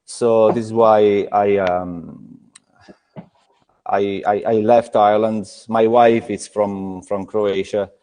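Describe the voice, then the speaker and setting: A man's voice: fairly low pitch, steady tone, warm tone. Guy talking beer in english, lots of ums and restarts, echoey reverb. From Italy. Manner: halting, many restarts and fillers. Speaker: craft brewer, guest. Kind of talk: casual video call chat.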